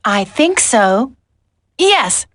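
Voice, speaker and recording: High, bright female commando. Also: big pitch swings, very slow, heavily acted. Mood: composed. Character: level-headed, caring female commando. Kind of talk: video game voice acting.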